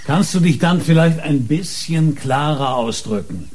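An actor playing a dogged, impatient police inspector in a radio crime drama, probing and suspicious, with a husky, muted voice. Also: male voice, fairly high pitch, animated, unhurried, darkish tone, a little hiss or hum. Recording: reverberant hall-like radio drama scene.

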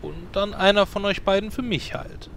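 A hapless druid man, voiced by an actor in a comedic fantasy audio play. The voice sits a little high and sounds whiny and resigned, with faint noise behind it. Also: moderate pace, gravelly, a bit bright. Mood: pleading. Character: hapless, put-upon male druid shapeshifter.

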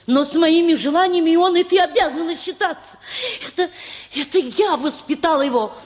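An actress playing a possessive, wounded guardian, stubborn and indignant, in a radio play with long reverb. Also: female voice, very high-pitched, brisk. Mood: stubborn, hurt. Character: possessive, wounded guardian who raised a young man. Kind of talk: stage-style radio play acting.

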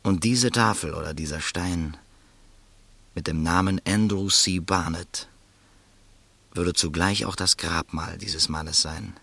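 A man narrating a radio play in first person, calm and reflective. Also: low voice, gravelly, slightly rough, no echo.

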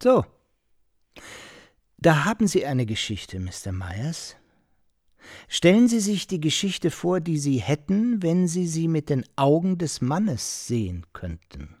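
A man's voice: fairly high pitch, big pitch swings, slow, a little mellow, no echo, clean studio sound, heavily acted. An actor playing an insistent, edgy host in a radio drama, lecturing his guests with emphatic formal politeness. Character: male host, insistent, pontificating, edgy married storyteller.

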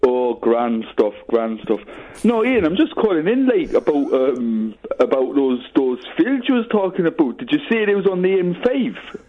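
A man with a Geordie accent calls in, his voice slightly high and squeezed thin by a tinny phone line. He talks in a slow, slangy drawl, mumbling and trailing off between phrases. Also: sing-song. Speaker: caller.

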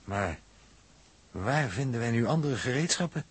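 Husky, sceptical spaceman. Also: medium pitch, lively intonation, measured pace. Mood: puzzled. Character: sceptical, precise, matter-of-fact male spaceship crewman. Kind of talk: 1960s Dutch radio drama acting.

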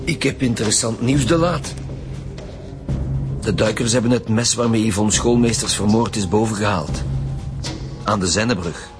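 An actor playing a stern head inspector who questions suspects, with a Flemish accent, in a radio drama. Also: male voice, lowish voice, moderate pace, pauses often, music in the background, lightly acted. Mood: pressing. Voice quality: brusque, pressing.

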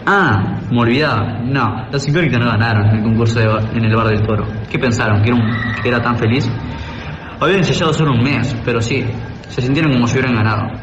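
A man's voice: medium pitch, fast talker, lo-fi sound, lightly acted. A man with a Rioplatense accent playing a playful storyteller, narrating an amateur radio play from a script. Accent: Rioplatense Argentine. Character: brisk, playful storyteller closing a band's tale. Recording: echoey, with loud guitar music behind.

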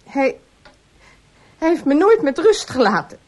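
A woman's voice: high-pitched, very animated, measured pace. An actress playing an anxious, guarded woman with a defensive manner in a radio drama. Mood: hesitant, troubled. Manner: hesitant, defensive. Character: anxious, guarded woman haunted by former lover. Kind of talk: Dutch radio drama acting.

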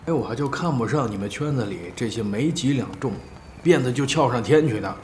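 A man's voice: medium pitch, animated, a little mellow, a little hiss or hum, lightly acted. Echoey, authoritative middle-aged boss played by a man. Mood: measured, disapproving. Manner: measured, authoritative, elder's casual grumbling. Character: wealthy older male business boss, proud, indulgent elder. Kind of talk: modern Chinese audio drama acting.

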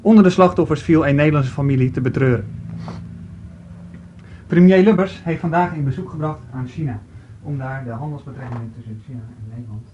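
A man's voice: mid-range voice, a little fast, warm tone, highs cut off, a little hiss or hum, natural voice. A man playing a formal newsreader giving a radio bulletin in an amateur radio play. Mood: grave, formal. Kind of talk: news bulletin reading.

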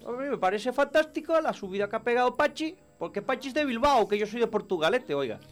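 A man's voice: extremely high pitch, expressive, fast talker, faint music behind. A man playing a proud, blunt Basque man from Portugalete.

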